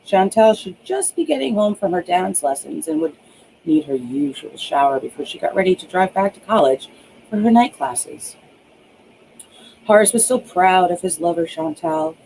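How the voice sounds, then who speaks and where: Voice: mid-range voice, big pitch swings, moderate pace, vocal fry, a little mellow, a little hiss or hum, rough recording. An author reading their own novel aloud as scripted audiobook narration.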